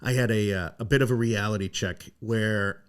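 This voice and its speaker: A man's voice: on the low side, steady tone, normal speed, smooth. A man who works as a real estate agent, guesting on a podcast and talking in a playful, easygoing way, recorded in a home basement game room. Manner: playful, easygoing, hesitant with fillers. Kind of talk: casual chat and storytelling.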